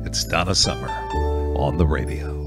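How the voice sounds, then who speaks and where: A man hosting an oldies radio show, chatting casually and folksily between songs with frequent pauses. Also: very deep voice. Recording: music playing under the voice.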